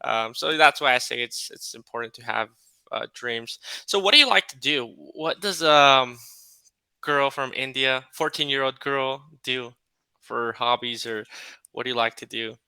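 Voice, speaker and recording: Bright, crisp man on a call. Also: medium pitch, no echo, rough recording. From California, United States. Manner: relaxed and friendly, many fillers and pauses. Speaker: English tutor. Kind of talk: casual video-call conversation. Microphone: video call.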